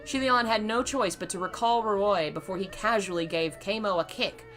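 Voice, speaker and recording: A hoarse woman reading a novel aloud on her channel, chatty and fond when she talks to viewers. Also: fairly low pitch, fairly bright tone. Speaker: reader and channel host.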